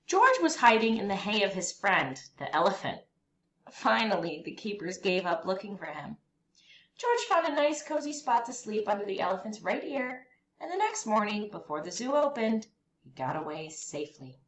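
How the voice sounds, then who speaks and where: A woman reading a children's picture book aloud as a storyteller, with expressive, deliberate phrasing for young listeners. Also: mid-range voice. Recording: roomy echo, faint background noise.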